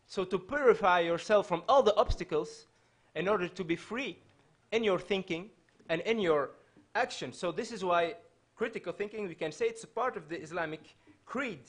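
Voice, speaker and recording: A man in his thirties, raised in Belgium with Dutch as his first language, lectures from a panel table in a lecture hall. His voice sits fairly high and has a husky, breathy edge. He speaks earnestly and at a measured pace, restarting phrases as he goes. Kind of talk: public lecture. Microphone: table microphone.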